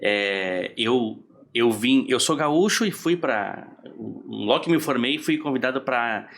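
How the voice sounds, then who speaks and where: Relaxed man from southern Brazil, rambling. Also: mid-range voice, slow, slight background noise. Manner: relaxed, rambling, many restarts and fillers, laughs easily. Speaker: podcast guest. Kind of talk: casual storytelling in conversation.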